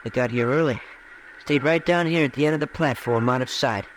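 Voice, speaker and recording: An actor playing a heartbroken young frontier farm lad in a radio western, dazed, distraught and halting in a rustic way. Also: male voice, medium pitch, close to an everyday voice, poor audio. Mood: hurt, despairing. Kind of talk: 1950s radio western acting. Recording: old radio broadcast, faint noise.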